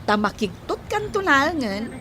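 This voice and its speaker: An eager young woman with an Ilocano accent in a radio drama. Her voice is very high and friendly. Light ambient noise is heard behind her. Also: very animated, unhurried, bright, forceful tone, exaggerated voice. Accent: Ilocano, northern Philippines. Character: eager young woman leaving for work in town.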